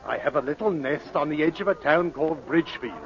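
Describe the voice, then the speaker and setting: Actor as high-pitched pompous jovial con man. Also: brisk, close to an everyday voice. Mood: jovial, delighted. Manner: pompous, florid. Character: Pearlie, jovial flowery con man posing as English knight. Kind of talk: 1940s radio acting. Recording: muffled 1940s radio broadcast recording.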